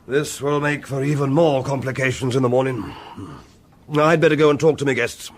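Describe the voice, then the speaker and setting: An actor playing a gruff, exasperated English aristocrat in a period radio drama, with an upper-class English accent and an imperious, blustering manner. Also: male voice, medium pitch, fairly even intonation, a little fast, close to an everyday voice. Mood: grave.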